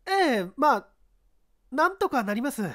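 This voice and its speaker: A woman voicing a polite, reserved young male baseball pitcher who answers evasively, in a story reading. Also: big pitch swings, measured pace.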